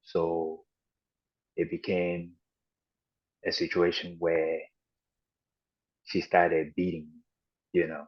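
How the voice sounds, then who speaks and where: A man with a low, deep voice recalls his past as a podcast guest, pausing and restarting often as he gathers his thoughts. The audio is dull and lo-fi. He tells it plainly and reflectively. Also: completely flat delivery. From Ghana and the United States. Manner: halting and reflective, with frequent restarts and "you know". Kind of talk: personal storytelling in an interview.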